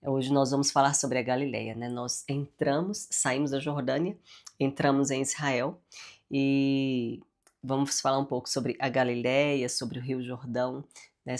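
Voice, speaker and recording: A woman with a congested, nasal voice teaching the Bible and praying, often adding "né?" and "amém?" as she speaks, with a Brazilian accent. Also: very deep voice. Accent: Brazilian Portuguese. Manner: warm, frequent "né?" and "amém?" tags. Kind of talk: devotional Bible teaching with prayer.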